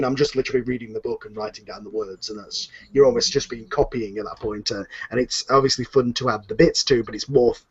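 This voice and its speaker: A man's voice: mid-range voice, breathy edge. A man hosting a casual question and answer chat, speaking in a hesitant, rambling way with repeated phrases on a lo-fi connection. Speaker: host, writer and producer of a fan audio drama. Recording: dull lo-fi voice call.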